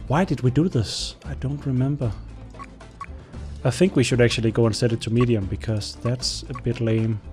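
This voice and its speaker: Man, Danish native speaker, young adult, let's-play commentary, mellow tone, thinking aloud. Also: mid-range voice, steady tone, normal speed, soft background music. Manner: thinking aloud, rambling, talks to his units. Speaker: gameplay commentator.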